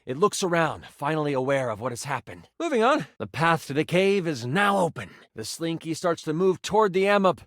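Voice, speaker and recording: Animated, theatrical game master. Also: male voice, on the high side, fairly quick, few pauses, no room sound, studio quality. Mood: dramatic. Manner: theatrical, second-person storytelling. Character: animated male dice game master narrator, playful storyteller. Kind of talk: dramatic scripted tabletop fantasy narration.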